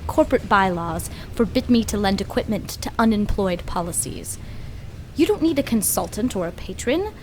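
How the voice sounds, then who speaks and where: A woman's voice: mid-range voice, animated, normal speed, darkish tone, slight background noise, lightly acted. A woman playing an arrogant, condescending mad scientist in a comedic audio drama, speaking in a grandiose, verbose way. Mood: scornful. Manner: haughty, verbose, theatrical.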